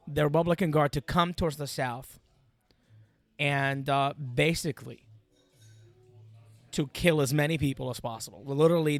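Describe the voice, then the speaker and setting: A man's voice: a little high, no room sound. Iraqi-accented man telling his story, dry sound. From Iraq. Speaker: interview guest, military veteran. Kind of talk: personal storytelling.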